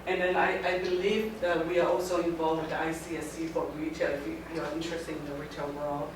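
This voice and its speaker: Halting woman speaker in a very echoey room. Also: low-pitched, little pitch movement. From Taiwan. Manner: halting, often restarting phrases. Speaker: guest speaker. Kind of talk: informal talk to a student group. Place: lecture room. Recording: very echoey room, mic far off, background noise.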